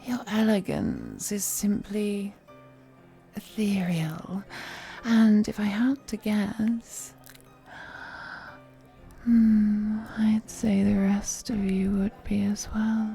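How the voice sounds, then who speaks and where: Woman acting as ancient flirty vampire lady, courtly and refined, really unhurried, some creak in the voice, roleplay audio w music behind. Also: mid-range voice, animated, warm tone, exaggerated voice. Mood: admiring, flirty. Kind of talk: scripted roleplay audio, intimate one-sided dialogue to the listener.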